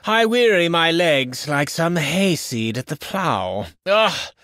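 Snobby medieval nobleman, pompous, contemptuous, sharp bright tone, dry close sound, video game barks. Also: fairly high pitch, very animated, takes it slowly, no room sound, exaggerated voice. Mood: weary, bored. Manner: pompous, sneering, ornate archaic diction. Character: haughty, contemptuous medieval nobleman lording over peasants. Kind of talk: video game voice lines, mock-archaic battle barks.

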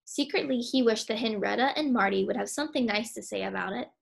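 A young woman reading a children's storybook aloud in an expressive, emphatic way. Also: on the high side, quick pace, vocal fry, slightly rough.

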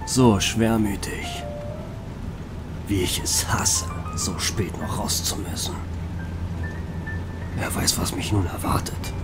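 An actor playing a grumpy, tired police detective in a German audio drama, with a sardonic, grumbling manner. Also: male voice, low voice, slow, long pauses. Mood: gloomy, weary. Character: weary, grumpy male police detective, easily exasperated. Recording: rain and music behind.

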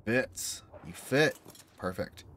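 A man with a slightly hoarse, failing voice, casually rambling as he plays and talks to himself and his creatures. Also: lowish voice, lively intonation, takes it slowly, a bit bright. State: losing his voice, sore throat. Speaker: gaming YouTuber. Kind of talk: casual gameplay commentary.

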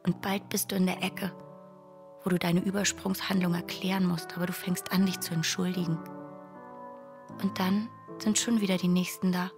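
An actress delivering a bleak, weary, subdued, partly whispered monologue in a radio play. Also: female voice, lowish voice, flat delivery, normal speed, over music, lightly acted.

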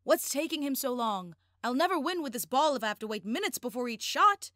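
A woman's voice: high-pitched, big pitch swings, brisk, fairly bright tone, no echo, clean studio sound. An actress playing an imperious, power-hungry female golf boss in an anime-style game, haughty and grandiose. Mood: impatient, hungry.

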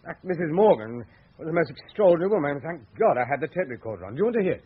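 Lively-pitched, polite sceptical writer. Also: male voice, racing, husky. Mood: astonished. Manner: polite, hesitant, insistent. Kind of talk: radio thriller acting. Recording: muffled, noisy old radio drama recording.

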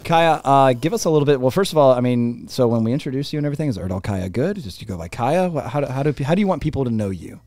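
Lively male podcast host. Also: medium pitch, fast talker, hardly any pauses, dry recording. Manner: friendly, lively questioning. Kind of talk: casual interview chat. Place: small podcast studio. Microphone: studio boom mic with headphones.

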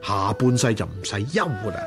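An actor playing a lecherous, coaxing rich master of a household in a radio drama. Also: male voice, lowish voice, expressive, a little fast, vocal fry, music in the background. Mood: lewd, coaxing. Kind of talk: Cantonese radio drama acting.

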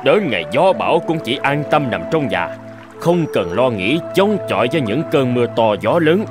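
A man's voice: medium pitch, big pitch swings, normal speed, over music, natural voice. A man voicing an envious, weary animal in a children's cartoon, grumbling wistfully.

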